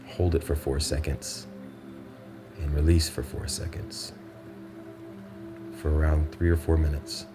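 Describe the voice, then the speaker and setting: A man with an extremely low voice guides a meditation in measured, instructional phrases. His intonation stays fairly flat, with pauses between short phrases. Music plays clearly behind him.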